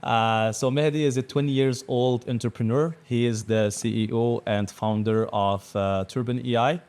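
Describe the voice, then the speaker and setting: A man's voice: medium pitch, steady tone, moderate pace, a little mellow, smooth. A man hosting a podcast, speaking in a casual interview style. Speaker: podcast host.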